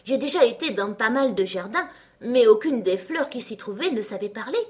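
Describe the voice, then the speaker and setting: A woman voicing a curious, polite young girl who is easily astonished, in an expressive children's storybook reading. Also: a little high, normal speed, voice pushed up, close to an everyday voice.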